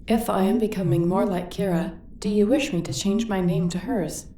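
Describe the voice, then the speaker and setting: A woman's voice: medium pitch, moderate pace, mellow, natural voice. Sci-fi ship computer, woman performer: female, literal, dryly loyal, formal diction, distant and roomy sound. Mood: earnest, literal. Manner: formal, precise wording without contractions. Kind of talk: scripted sci-fi audio roleplay. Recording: roomy, distant, faint background noise.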